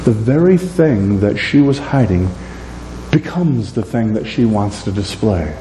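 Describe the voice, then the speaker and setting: A man in his forties preaching a sermon in a church, animated and gesturing, moving between loud and soft. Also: on the low side, unhurried. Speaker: pastor. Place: church sanctuary, indoors. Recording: echoey church room, faint hum.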